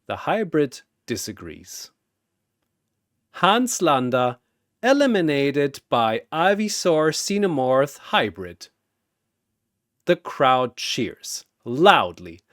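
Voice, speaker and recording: A male narrator delivers scripted dramatic storytelling at an unhurried pace. His pitch moves around a lot, with theatrical, deliberate phrasing. The sound is dry, with little room in it. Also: big pitch swings, a bit bright, no echo.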